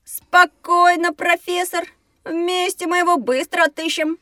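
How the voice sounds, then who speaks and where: Sceptical, curious young boy, piping high pitch, cheeky and matter-of-fact, slightly off-mic; children's drama. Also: level tone, measured pace, bright, forceful tone, no echo, studio quality, far from the mic, exaggerated voice. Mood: inquisitive, confident. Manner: matter-of-fact, doubting, inquisitive. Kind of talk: children's educational audio drama.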